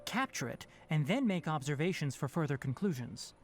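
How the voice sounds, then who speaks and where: A man's voice: fairly high pitch, very animated, moderate pace, music in the background. A young man voicing a composed, analytical, blunt forest watcher in a video game, speaking in a precise, formal way. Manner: precise, matter-of-fact, formal.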